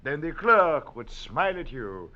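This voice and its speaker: An actor playing a sly, world-weary French detective, a smooth and taunting hunter of men, in a 1940s radio drama. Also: male voice, a little high, big pitch swings, unhurried. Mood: boastful, taunting. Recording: muffled old radio recording.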